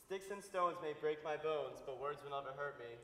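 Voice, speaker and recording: A man's voice: fairly high pitch, moderate pace, pushed and bright. A male student performer delivering scripted readers theater lines. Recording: echoey hall, faint noise.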